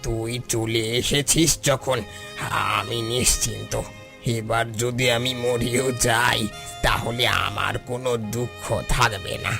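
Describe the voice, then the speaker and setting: A gravelly, slow-spoken old father, frail and gentle. He talks tenderly, resigned to his age, with music clearly playing behind him. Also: mid-range voice, steady tone. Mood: tender, relieved. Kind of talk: Bengali cartoon voice acting.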